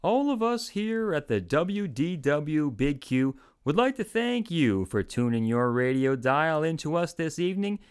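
Man putting on an animated old-time radio announcer. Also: fairly high pitch, measured pace, hardly any pauses, clear, resonant tone. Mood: warm, formal. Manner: formal, polished, cordial. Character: cheery old-time radio announcer signing off a holiday show.

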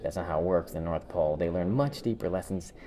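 A man co-hosting a podcast in casual chat, speaking emphatically and haltingly with repeated words as he reviews. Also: low voice, crowd noise. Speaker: podcast co-host.

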